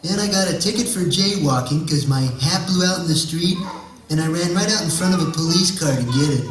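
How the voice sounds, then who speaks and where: A man's voice: fairly high pitch, brisk, a little hiss or hum, natural voice. A man playing a hapless, glum young neighbor puppet in a comedy skit, hesitant and plaintive. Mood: glum, complaining. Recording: echoey room, distant mic.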